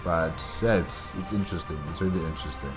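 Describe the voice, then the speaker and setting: A man's voice: low-pitched, steady tone, fast, music in the background. A man hosting a reaction video, giving a casual, rambling solo recap and repeating his phrases.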